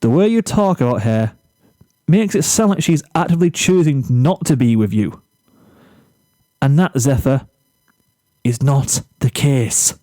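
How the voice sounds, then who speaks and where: A man reading a storybook character, an exasperated, blunt teenage boy who speaks firmly and deliberately. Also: medium pitch, lively intonation, dark tone, no room sound, lightly acted. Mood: firm, reasoning. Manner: firm, emphatic, deliberate.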